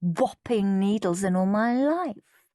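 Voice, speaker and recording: Woman as a smug, sly little girl, raised pitch. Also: expressive, takes it slowly, dark tone, dry recording, studio quality. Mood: falsely sweet. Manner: falsely sweet, gloating. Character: smug, moody young girl, needling and sly.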